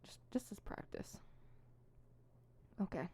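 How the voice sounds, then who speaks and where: A woman's voice: slow pace, a little mellow, a little hiss or hum, lightly acted. A woman playing an insecure, theatrical supervillain rehearsing monologues in an ASMR roleplay, switching between fumbling mutters and pompous recital. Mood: flustered, self-critical. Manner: fumbling self-conscious muttering broken by pompous verse recital. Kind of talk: ASMR roleplay, scripted solo audio drama.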